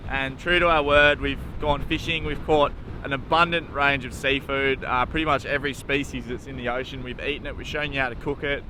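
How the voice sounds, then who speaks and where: A man's voice: medium pitch, steady tone, brisk, noisy background. A young Australian man narrating a travel vlog in a laid-back, jokey way, outdoors by a beach. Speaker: travel vlogger.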